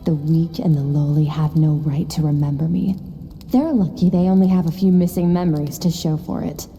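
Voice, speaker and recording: Game casting: aloof commanding swordswoman mentor, deep and very mellow, detached delivery, faint music underneath. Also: fairly even intonation. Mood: cold, disdainful. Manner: detached, measured, imperious. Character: aloof, coolly commanding woman warrior mentor, stern and unsentimental. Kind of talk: video game voice acting.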